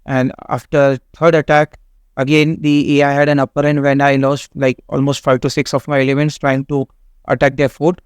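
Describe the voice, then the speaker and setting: A man, not a native English speaker, hosting a game walkthrough in a halting way with many fillers and restarts. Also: medium pitch, flat delivery, clean tone, dry recording. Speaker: gaming channel host. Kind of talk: casual game walkthrough commentary.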